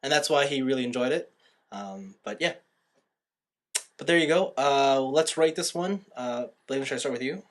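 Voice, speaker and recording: A man's voice: medium pitch, lively intonation, moderate pace. A man hosting a casual movie review podcast, giving opinions and often rewording himself as he goes. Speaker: podcast host.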